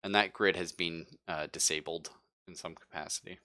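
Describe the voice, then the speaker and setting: Low-voiced man running a tabletop game. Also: fairly even intonation, moderate pace, bright, forceful tone, no room sound. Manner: informal, jokey, profane. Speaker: game master. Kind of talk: casual narrating of a tabletop sci-fi horror game.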